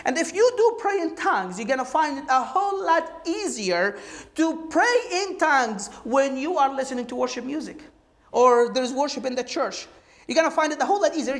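High-voiced woman preaching emphatically. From Egypt. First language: Arabic. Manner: emphatic, animated preaching with rhetorical questions. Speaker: Bible teacher. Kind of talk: sermon-like teaching talk.

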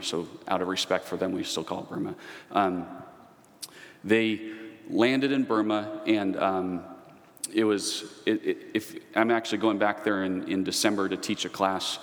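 A man with a fairly low voice delivers a relaxed, conversational lecture. He drifts into side comments and stories from his own teaching. His voice rings out with the long reverb of a large hall. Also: gravelly. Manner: digressive, asides and personal anecdotes. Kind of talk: conversational church history lecture.

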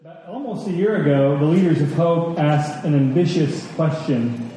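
A man's voice: fairly high pitch, normal speed, mellow, soft background music. A man preaching a sermon to a congregation, using rhetorical questions and repeated phrases for emphasis. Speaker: pastor preaching. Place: large room at a church gathering. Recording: echoey hall, distant mic, faint noise.

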